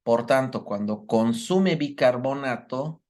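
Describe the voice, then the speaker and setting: A man teaches a live workshop. His voice sits at a middle pitch, and he works through each idea step by step. He stops often to ask his students whether they have understood. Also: takes it slowly, darkish tone. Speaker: medical lecturer. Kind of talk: live online workshop lecture.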